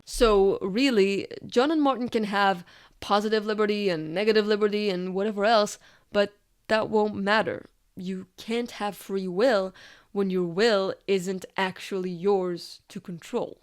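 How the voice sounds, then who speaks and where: A woman's voice: medium pitch, fairly even intonation, measured pace, clean tone. A woman delivering scripted video essay narration in a reflective manner, often posing rhetorical questions.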